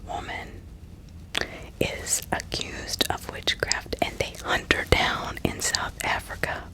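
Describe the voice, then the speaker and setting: A woman narrating true crime in a breathy ASMR whisper, deliberate and often repeating words and phrases. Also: bright, forceful tone, rough recording. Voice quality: breathy, mostly unvoiced whisper. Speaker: ASMR host.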